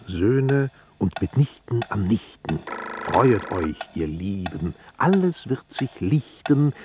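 A man's voice: medium pitch, background noise. An actor playing an evasive, poetic father in a German radio drama, rambling and grandiloquent.

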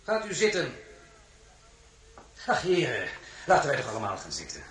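A man's voice: fairly high pitch, wildly swooping pitch, moderate pace, fairly bright tone. A man acting in a radio drama as an imperious, volatile French emperor, with a commanding, mocking, theatrical delivery. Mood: condescending, sardonic. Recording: roomy sound with faint noise.

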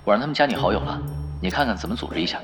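An actor playing a laid-back, sly veteran gamer in an audio drama, talking in a casual, needling, nonchalant way. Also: male voice, fairly low pitch, lively intonation, racing, close to an everyday voice. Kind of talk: audio drama acting, conversational. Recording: music bed under dialogue.

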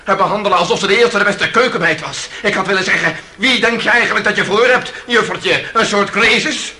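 An actor playing a passionate, proud and anguished defendant in a radio drama, speaking theatrically between hush and outburst. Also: male voice, high-pitched, fairly quick. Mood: bitter, agitated. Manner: theatrical, swinging from hushed to outburst. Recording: noisy old radio drama recording.

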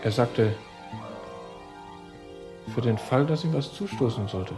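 An actor playing an evasive young male swindler in a radio drama, outwardly polite and measured. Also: mid-range voice, lively intonation, music in the background. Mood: guarded.

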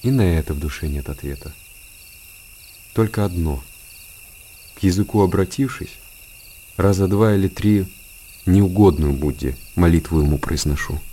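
Radio drama: secluded hermit monk, male, actor, low and mellow, reflective monologue over chirping night ambience. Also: normal speed, pauses often, a little hiss or hum, natural voice. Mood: searching, solemn. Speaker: narrator in a radio play.

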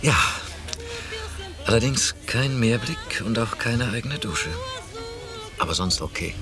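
A man's voice: mid-range voice, expressive, slow. An actor playing a dry, teasing, mildly impatient male partner in naturalistic German radio drama dialogue. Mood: breezy, teasing. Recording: 1990 radio drama from cassette, music behind.

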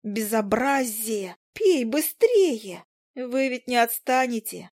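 A woman voicing an excitable, gossipy tiny female fly in an audiobook, in rapid, squeaky chatter. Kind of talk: audiobook character voice.

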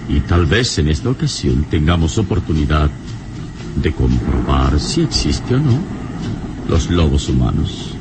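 An actor playing a calm, wise, commanding adventure hero in an old radio serial, solemn and paternal in manner. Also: male voice, extremely low pitch, unhurried, heavy background noise, heavily acted. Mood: grave, pondering.

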